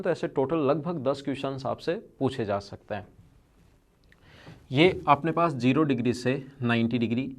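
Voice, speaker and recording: A male maths teacher with a strained throat, speaking earnestly and directly to his students in an online video lesson. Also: mid-range voice.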